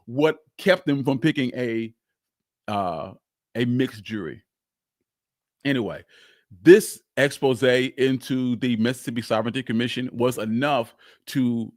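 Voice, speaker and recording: A man's voice: mid-range voice, no room sound, studio quality. A man hosting a live history talk, telling the story emphatically and repeating phrases for weight. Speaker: host of a history show.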